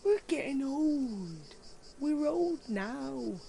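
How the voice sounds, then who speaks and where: A woman's voice: high-pitched, big pitch swings, very slow, vocal fry, dark tone, slight background noise, heavily acted. A woman playing an anxious, jealous older wife in an audio drama, fretful and pleading. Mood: distressed, pleading.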